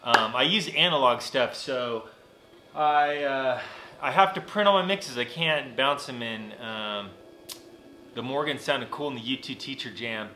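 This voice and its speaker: A man's voice: medium pitch, a little slow, reverberant, background noise. A man in his forties with a nasal voice, chatting casually and rambling through a live stream from his home recording studio. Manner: rambling, relaxed, many fillers and restarts. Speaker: vlogger and mixing engineer. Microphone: camera on desk.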